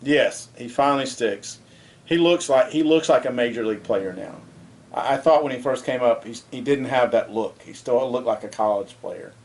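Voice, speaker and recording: A man's voice: mid-range voice, normal speed, a little mellow. A man co-hosting a casual sports talk show, speaking plainly and getting worked up over his opinions. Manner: plainspoken, gets fired up with strong opinions.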